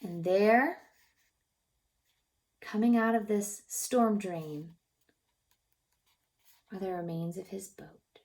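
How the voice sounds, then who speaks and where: A woman reads a picture book to little children in a mid-pitched voice with a noticeable creak. She is expressive and gently encouraging, pausing to ask questions about the pictures. Her delivery often softens into a breathy near-whisper. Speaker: childcare teacher reading a picture book aloud. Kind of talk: read-aloud storytime for young children, narrating pictures and asking questions.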